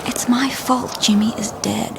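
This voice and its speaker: A woman playing a troubled, guilt-ridden young bride in an audio drama, intense and halting, often dropping to whispers. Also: on the high side, steady tone, heavy background noise. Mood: anguished, fearful. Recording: clear ambient sound behind voice.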